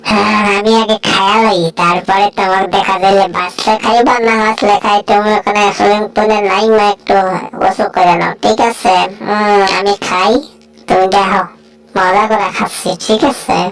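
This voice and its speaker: A woman's voice: medium pitch, flat delivery, normal speed, voice pushed down, natural voice, rough recording. A woman voicing a bossy, laid-back cartoon cat who chatters in a self-satisfied drawl, as in an improvised comic dub. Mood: smug, content.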